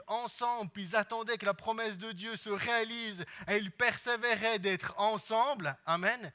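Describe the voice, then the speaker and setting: Bright high-voiced Swiss French preacher. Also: male voice. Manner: preaching with many amens and hallelujahs, swinging between hushed and loud. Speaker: pastor. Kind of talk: sermon.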